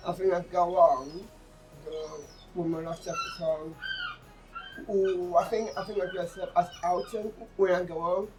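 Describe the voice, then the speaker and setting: Slow, halting man, recorded at a distance. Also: high voice, fairly even intonation, background noise. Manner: halting, repeats phrase openings. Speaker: actor and drummer in a stage play being interviewed. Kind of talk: casual on-location interview. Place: outdoors on a sea front. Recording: distant mic outdoors, faint music behind.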